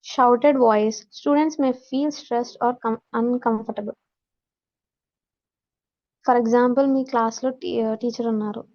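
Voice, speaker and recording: A woman's voice: fairly high pitch, fairly even intonation, extremely slow, long pauses, dark tone, dull audio. A woman with a Telugu accent teaching in a lecture style, mixing English terms and read-out notes into her explanations. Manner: code-switches between Telugu and English, instructional.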